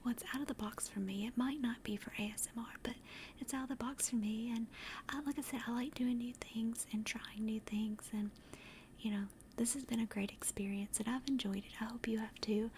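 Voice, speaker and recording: A woman chatting casually to livestream viewers in a soft, breathy ASMR whisper, gentle and rambling, close to the microphone. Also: steady tone, a little fast, slight background noise. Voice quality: soft, breathy whisper and hushed low-volume speech. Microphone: close directional microphone.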